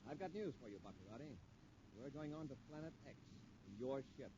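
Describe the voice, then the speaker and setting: Casting: male actor as commanding space patrol hero, quick-fire and firm, vintage radio serial sound with clear background noise. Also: medium pitch, animated, lightly acted. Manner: authoritative, clipped. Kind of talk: 1950s radio serial acting. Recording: noisy, muffled old radio recording.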